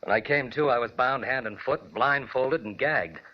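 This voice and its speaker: A man's voice: mid-range voice, animated, normal speed, slight background noise, lightly acted. Guy playing a mild artist husband, earnest and polite, medium voice, muffled old radio drama. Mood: shaken. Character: mild artist husband, adult man, earnest kidnap victim. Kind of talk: 1940s radio drama acting. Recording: muffled vintage radio broadcast.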